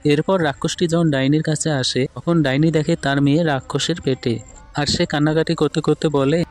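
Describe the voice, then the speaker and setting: High-pitched man narrating cartoon stories. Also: steady tone, moderate pace, a little mellow, soft background music. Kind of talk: scripted storybook narration.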